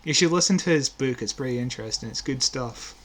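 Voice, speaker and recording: A man's voice: mid-range voice, fast, fairly bright tone, a little hiss or hum. A man chatting casually as a podcast co-host, explaining trivia like a keen fan. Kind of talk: casual chat.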